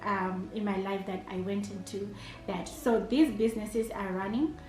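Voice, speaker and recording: A woman's voice: medium pitch, steady tone, normal speed, darkish tone, soft background music. A Zimbabwean woman in her thirties, an entrepreneur and chef, answering interview questions in a hesitant way, with many fillers and restarts. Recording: echoey room.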